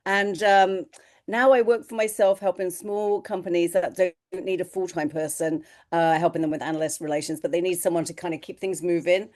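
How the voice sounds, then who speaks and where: A woman speaking as an analyst relations consultant on a webinar panel, brisk and matter-of-fact. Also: lowish voice, steady tone, a little mellow. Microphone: video call.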